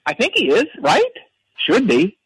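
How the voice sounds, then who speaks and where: An actor playing a brash, combative caller who rambles about rock music and picks fights. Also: male voice, high-pitched, huge pitch swings, a little slow, fairly bright tone, slightly rough, no room sound, heavily acted. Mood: chummy. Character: brash hotheaded man obsessed with rock. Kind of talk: comedic character phone call.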